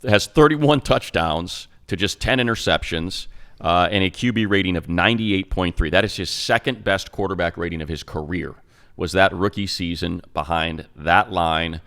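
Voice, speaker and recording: A man with a low, smooth and resonant voice talks football on a team podcast. He runs through numbers fast, cuts himself off and circles back to his point in a loose, casual way. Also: a bit bright. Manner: fast, stat-heavy, self-interrupting. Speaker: radio play-by-play announcer, co-host. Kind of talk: casual sports talk.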